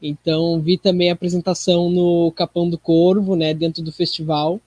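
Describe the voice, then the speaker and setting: A man's voice: a little high, flat delivery, normal speed, a little mellow, no echo. A man with a southern Brazilian accent from Rio Grande do Sul, chatting casually as an actor being interviewed.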